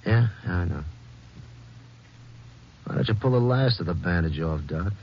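A man's voice: sits low, expressive, normal speed. An actor playing a menacing, taunting gunman with terse, sardonic delivery in a 1950s radio drama. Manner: terse, sardonic drawling threats. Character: menacing, taunting gunman posing as an injured patient. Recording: muffled, noisy old radio broadcast.

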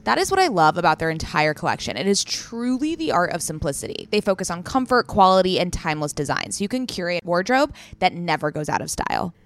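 A woman's voice: lowish voice, very animated, fast talker, hardly any pauses. A woman co-hosting a podcast, giving an enthusiastic, emphatic sponsor read in a chatty style. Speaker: podcast co-host.

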